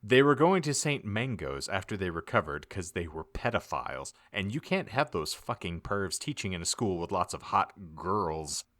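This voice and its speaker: A man reading a story aloud as a podcast host, in a theatrical, deliberate comic audiobook style. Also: deep voice, bright, forceful tone, no echo, clean studio sound. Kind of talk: dramatic comic audiobook narration.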